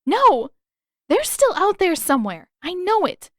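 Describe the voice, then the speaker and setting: A woman's voice: very high-pitched, huge pitch swings, measured pace, no echo, clean studio sound, pitched above the performer's own voice, exaggerated voice. A woman voicing a stubborn, distraught fourteen-year-old girl, emotional and insistent, in an audiobook story. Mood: defiant, desperate.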